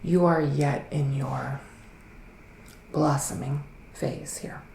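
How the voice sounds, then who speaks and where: A man plays a psychic witch in a soft ASMR roleplay, slipping between gentle talk and whispers. His delivery is very slow and musing, with plenty of vocal fry. The room has a noticeable echo around his voice. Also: on the high side, steady tone, a little hiss or hum. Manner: hesitant, drawn-out, mystical musing. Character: psychic male witch giving a mystical reading. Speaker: ASMR performer playing a psychic reader.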